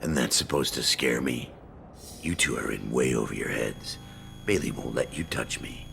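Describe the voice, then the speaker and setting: A man playing a cocky, crude crime boss in a video game, with a gravelly, mocking, slangy tough-guy delivery. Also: very deep voice, normal speed, fairly bright tone, slight background noise, exaggerated voice. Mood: indignant.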